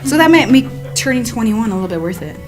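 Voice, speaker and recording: A woman's voice: fairly high pitch, sing-song, quick pace. Young woman chatting over music. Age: early twenties. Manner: slangy, jokey, exclamatory. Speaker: college radio host. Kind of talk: casual chat. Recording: music behind the voice.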